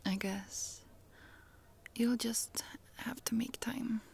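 A woman's voice: fairly high pitch, fairly even intonation, drawn-out words, vocal fry, slightly rough, exaggerated voice. A woman whispering in a Swedish accent as a chatty young Swedish traveler, friendly and frank, in a close-mic roleplay. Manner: soft whisper with small laughs.

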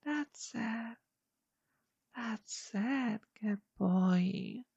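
A woman talks in a hushed, coaxing whisper, very close to the microphone. Her voice sits a little high and carries a husky, gravelly texture. She takes her time, and the sound is dry, with no room around it. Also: very slow, no room sound, highs cut off. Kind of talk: intimate whispered audio roleplay.

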